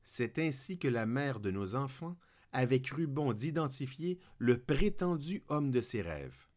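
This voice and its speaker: Slow, lively Québécois man storytelling. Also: mid-range voice. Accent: Québécois French. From Quebec. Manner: playful, declamatory wordplay. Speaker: podcast host. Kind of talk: scripted humorous monologue.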